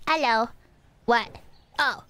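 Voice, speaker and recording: A young woman chatting casually through a live game stream with a fairly high voice. She grumbles and jokes at her viewers, playful and quick to get exasperated. Her talk stays loose and unscripted. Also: bright, forceful tone, a little hiss or hum. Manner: playful, easily exasperated, talks to chat.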